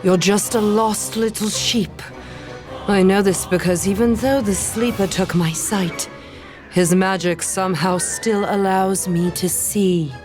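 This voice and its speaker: A woman playing a serene, wise blind shepherdess in a fantasy table read, measured, solemn and cryptic. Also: mid-range voice, steady tone, over music, lightly acted. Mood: solemn, commanding.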